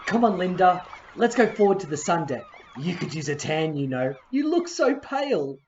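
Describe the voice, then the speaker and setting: Woman as lively, low-voiced young husband. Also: moderate pace, slight background noise. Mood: affectionate. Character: young husband Simon, reassuring, firm, affectionate. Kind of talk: amateur audio play acting. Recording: dull, muffled lo-fi.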